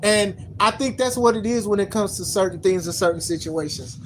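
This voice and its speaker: Podcast host, 30s, mid-pitched, casual rambling takes, slangy and repetitive. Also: a little fast, fairly bright tone, slight background noise, low-quality recording. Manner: rambling, repeats words, slangy. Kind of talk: casual opinion commentary.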